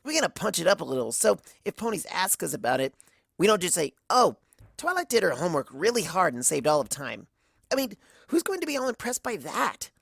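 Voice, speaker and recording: A woman's voice: on the low side, sing-song, fairly quick, gravelly, breathy edge, dry recording, studio quality, pitched above the performer's own voice, exaggerated voice. Lady putting on brash tomboy pony, cocky n slangy, voice jumps around a lot. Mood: boastful. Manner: boastful, slangy, blunt. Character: brash, cocky young tomboy cartoon pegasus mare.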